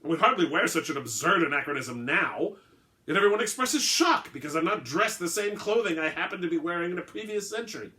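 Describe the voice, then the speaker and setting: A man's voice: fairly high pitch, lively intonation, fairly quick, bright, forceful tone, pitched above the performer's own voice. A man voicing a suave, haughty centuries-old vampire with formal, pompous phrasing, in a dramatic story reading. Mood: indignant. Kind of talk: dramatic reading of an all-dialogue short story.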